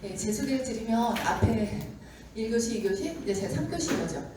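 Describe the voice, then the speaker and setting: A woman giving a seminar talk to an audience, slightly hoarse from a sore throat, chatty and acting out the doubts of skeptics. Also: a little high, expressive, normal speed. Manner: chatty, acts out doubters' lines. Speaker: seminar speaker. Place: lecture room with audience. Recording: echoey hall mic from far off with noise.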